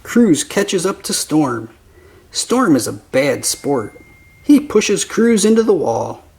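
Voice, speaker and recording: A man reading a children's picture book aloud as narrator, with simple, deliberate phrasing for young listeners. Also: fairly high pitch, very animated, vocal fry, a little mellow. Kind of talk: slow scripted children's story narration.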